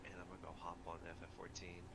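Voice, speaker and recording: A man's voice: low-pitched, monotone, rapid-fire, creaky, very noisy. A man chatting casually with friends while gaming, with a laid-back, chill way of talking. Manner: laid-back, calls his own voice chill.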